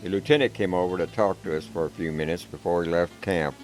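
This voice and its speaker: A man with a very low voice tells a first-person story in a calm, measured, plain-spoken way. Music plays clearly underneath the narration. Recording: background music.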